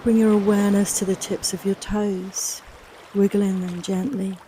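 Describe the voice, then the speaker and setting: A woman leading a guided relaxation meditation in a soft, soothing, unhurried, reassuring manner, with long pauses between phrases. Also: mid-range voice, level tone, a little hiss or hum. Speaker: guided meditation and yoga nidra teacher.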